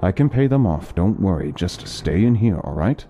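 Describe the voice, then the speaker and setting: A man playing a courteous, learned doctor in a fantasy audio drama, speaking formally and deliberately with some hesitance. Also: deep voice, very animated, warm tone, a little hiss or hum. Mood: low, urgent. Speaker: voice actor in an interactive fantasy audio story. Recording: music behind the voice.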